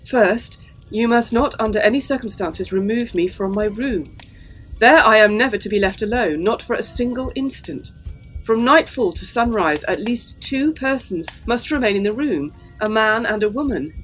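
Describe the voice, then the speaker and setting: A woman's voice: fairly high pitch, expressive, moderate pace, a bit bright, faint music behind, low-quality recording. A woman playing an anxious, well-bred young Edwardian daughter, polite and earnest, in a gothic audio drama. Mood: solemn reading.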